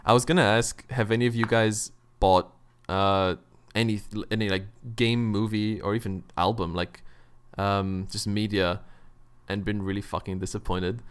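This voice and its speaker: A man's voice: lowish voice, steady tone, moderate pace, smooth. A man in casual, informal podcast chat about games, using plenty of slang and swearing. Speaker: gaming podcast co-host.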